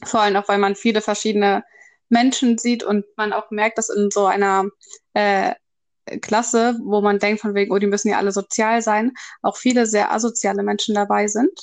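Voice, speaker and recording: A woman's voice: mid-range voice, little pitch movement, moderate pace. Young woman chatting, a little hesitant. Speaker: podcast co-host. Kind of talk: casual unscripted chat.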